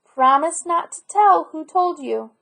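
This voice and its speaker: A woman's voice: high-pitched, lively intonation, measured pace, gravelly, a bit bright, voice pushed up, exaggerated voice. A woman voicing a kindly, wise sea turtle in a storybook read aloud. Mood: calm, helpful. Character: kindly wise male sea turtle who gathers names.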